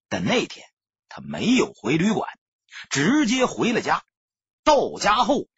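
A man with a raspy voice narrating a novel as a dramatic audiobook reader. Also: fairly high pitch, fairly bright tone, no echo, muffled recording. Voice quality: husky, raspy.

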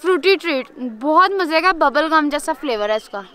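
A young woman talks brightly to a camera held close, out on a mountain trail. Her voice sits high, and her chatty, upbeat delivery turns breathless on the climb. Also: expressive, normal speed. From Pakistan. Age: young adult. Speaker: travel vlogger. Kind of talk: casual travel vlog narration to camera. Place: outdoors on a forest hiking trail and hilltop meadow. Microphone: handheld camera held close.

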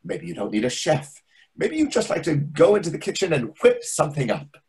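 A man's voice: on the high side, very animated, a little fast, darkish tone, husky, heavily acted. A man playing a condescending, passive-aggressive waiter in a readers theatre play. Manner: sarcastic, condescending. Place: indoors on a video call.

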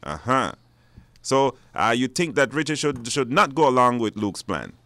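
A man hosting a live radio phone-in, speaking haltingly and repeating words. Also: mid-range voice, very animated, pushed and bright, no room sound. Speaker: radio talk show host. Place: radio studio.

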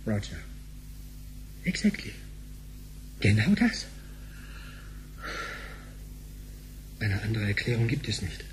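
An actor playing a cheeky, excitable young tech tinkerer in a radio drama, telling things animatedly in slangy talk with bits of English. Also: male voice, fairly low pitch, unhurried, a little hiss or hum, rough recording. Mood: rattled. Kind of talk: German sci-fi radio drama dialogue.

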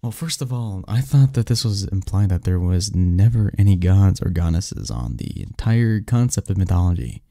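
A man's voice: low voice, lively intonation, moderate pace, gravelly, hardly any pauses, mellow, no echo, clean studio sound. A man playing a snarky, cynical young research assistant in an audio drama, talking conversationally with a scoffing, pedantic manner and small stumbles. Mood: skeptical, lecturing.

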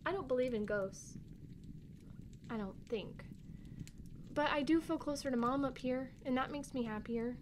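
A woman's voice: high voice, moderate pace, pauses often, noisy background, natural voice. A woman playing a candid, worried young sister in a family audio drama, with a childlike way of talking. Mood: wistful, candid.

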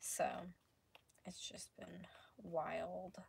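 A woman talks casually to her livestream viewers while she crafts. Her voice sits fairly low and sounds a little hoarse. The recording is a homemade one in a small room, with noticeable noise behind her. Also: little pitch movement, extremely slow, darkish tone. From North America. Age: 20s. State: slightly hoarse. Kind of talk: casual chat while painting. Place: small room at home, indoors. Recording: clearly noisy home livestream recording.

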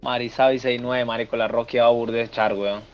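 A man's voice: mid-range voice, level tone, brisk, clean tone, dull audio, faint music behind. A Venezuelan man in casual voice chat during an online game, speaking in crude slang.

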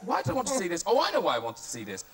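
A man presenting a TV chat show in a studio, bubbly, giggly and hurried as he talks to his guest. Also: high voice, wildly swooping pitch, over a noisy crowd.

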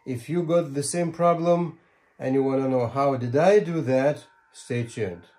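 A man, explaining steps deliberately and emphatically in a tutorial style. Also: mid-range voice, background noise. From Lithuania. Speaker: ham radio tutorial presenter. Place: small home radio room, indoors.

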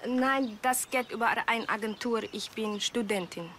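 Actress as high-voiced young Russian interpreter. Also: female voice, a bit bright, a little hiss or hum, lightly acted. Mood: earnest, businesslike. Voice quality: halting, non-native German grammar. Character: earnest young Russian woman, student interpreter. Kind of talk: TV crime comedy acting.

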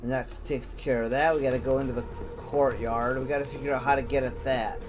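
A man's voice: medium pitch, steady tone, a little fast. Casual male gamer with music behind him. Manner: talks to himself, mild swearing, folksy slang. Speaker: gamer, video host. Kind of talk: casual let's play commentary. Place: indoors, over game audio.

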